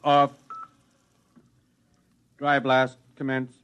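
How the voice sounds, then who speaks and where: An actor playing an imperious, self-assured foundation head in old-time American radio style, clipped and pompous. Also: male voice, medium pitch, completely flat delivery, extremely slow, muffled recording, noisy background. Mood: brisk, commanding.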